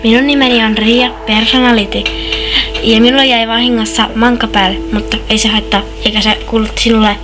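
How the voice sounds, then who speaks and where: A woman's voice: a little high, level tone, over music, lightly acted. A woman voicing a gushing, flustered, lovestruck schoolgirl in an amateur homemade dub. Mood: chatty, boastful. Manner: gushing, flustered, backtracking, babbling. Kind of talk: amateur fan dub with homemade sound effects. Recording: noisy homemade screen-capture recording with clicks.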